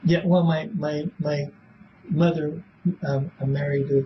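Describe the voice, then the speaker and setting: A man in his seventies answering questions in an interview, speaking casually and haltingly, repeating words and trailing off. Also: a little high, level tone. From born in Belfast, Northern Ireland; raised in Illinois from age seven. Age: 70s. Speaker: interviewee in a police polygraph interview. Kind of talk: casual, rambling spoken answers. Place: small police interview room. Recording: muffled, noisy interrogation room recording.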